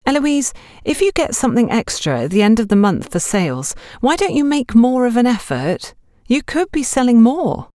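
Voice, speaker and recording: An earnest young shop trainee, voiced by a woman narrator, polite and eager to help customers. The voice is pitched up with lively movement, in a dry audiobook recording. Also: moderate pace, hardly any pauses, no echo.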